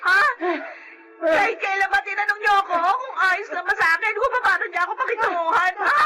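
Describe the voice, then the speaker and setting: Very high-voiced, hurt young Filipino woman. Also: brisk. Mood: angry, tearful. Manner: pleading, emotional protest. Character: hurt, defiant, anxious young granddaughter who answers back. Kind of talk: melodramatic drama acting. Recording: loud music under dialogue.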